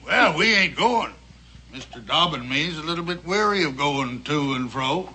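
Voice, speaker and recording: A genial old American frontier tall-tale teller in a TV western. The voice is very bright and forceful, sitting a little high, with a folksy way of spinning words. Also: male voice, lively intonation, normal speed, breathy edge, exaggerated voice. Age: older. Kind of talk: 1960s television western acting. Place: studio set of a log tavern.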